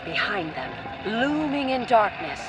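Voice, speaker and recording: A woman's voice: a little high, sing-song, measured pace, background noise. A woman narrating a scripted radio adventure play in a dramatic, descriptive storytelling manner. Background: music.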